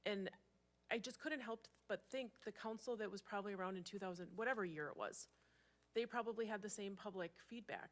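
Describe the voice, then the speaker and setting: A woman's voice: mid-range voice, brisk. An American woman speaking as a city council member, making informal, reflective, conversational remarks at a meeting.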